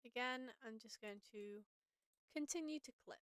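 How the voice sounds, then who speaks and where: A woman with a slightly raspy voice, casually walking viewers through audio editing on a live stream and thinking aloud as she works. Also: on the high side, moderate pace, a bit bright, no room sound.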